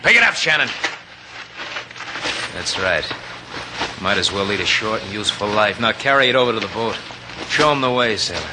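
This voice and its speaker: An actor playing a smug, murderous college-educated villain who talks with mocking politeness in an old-time radio drama. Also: male voice, lowish voice, animated, normal speed, long pauses, natural voice. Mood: cold threatening. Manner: mocking, drawling politeness with menace. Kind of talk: 1940s-50s radio drama acting. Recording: noisy old radio broadcast.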